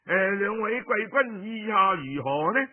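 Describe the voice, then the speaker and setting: Pompous ancient Chinese duke, voiced by a male storyteller, high-pitched. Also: expressive, unhurried, phone-quality sound, a little hiss or hum. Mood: pompous, formal. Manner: pompous, formal, presumptuous. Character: self-important, pushy ancient Chinese duke, male ruler.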